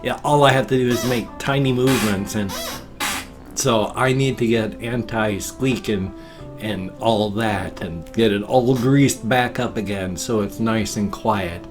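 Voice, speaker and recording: An older man talks in a folksy, meandering way, his voice nasal and congested with a faint wheeze. He chats casually at a home desk mic, and quiet guitar music plays softly behind him. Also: fairly low pitch, steady tone, measured pace. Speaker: vlog host. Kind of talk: rambling casual morning vlog. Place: small home room at a desk.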